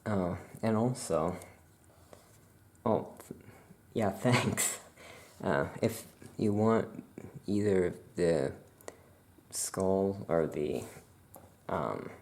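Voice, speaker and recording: Very low, slow, creaky soft-spoken ASMR shopkeeper. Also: slight background noise. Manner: casual, hesitant, drawn-out musing. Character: laid-back witch shopkeeper chatting with a customer. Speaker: ASMR performer role-playing a witch shopkeeper.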